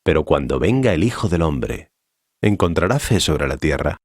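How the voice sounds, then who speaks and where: An actor playing a solemn, authoritative male teacher in a dramatized scripture reading, speaking in a measured, didactic way. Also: rumbling low, big pitch swings, dry recording, clean studio sound, heavily acted. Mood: solemn teaching.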